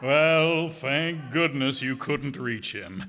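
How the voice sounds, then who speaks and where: A man's voice: mid-range voice, expressive, takes it slowly, sharp tone, full character voice. A man playing an exasperated, sarcastic businessman husband in a radio comedy re-creation. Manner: sarcastic, grumbling. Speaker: radio player. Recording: reverberant hall, live stage performance.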